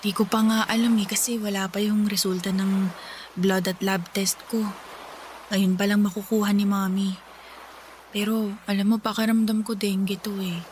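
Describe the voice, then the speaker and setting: A woman's voice: mid-range voice, level tone, normal speed, darkish tone, close to an everyday voice. A woman playing a sick, weary young female office worker confiding in others in a Tagalog radio drama. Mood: weary, chatty. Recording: some noise behind the voice.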